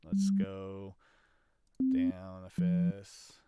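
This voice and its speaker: A man's voice: deep voice, completely flat delivery, extremely slow, crowd noise. A man giving a casual, explanatory screen demonstration, thinking aloud in a hesitant way as he presents an audio production tutorial.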